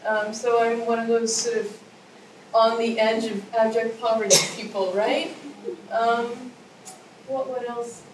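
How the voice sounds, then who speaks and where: A woman's voice: fairly high pitch, little pitch movement, a little slow. Woman in her thirties sharing casually. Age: 30s. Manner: chatty, self-deprecating, fillers. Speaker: workshop participant. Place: conference workshop room. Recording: echoey room, distant mic, noisy.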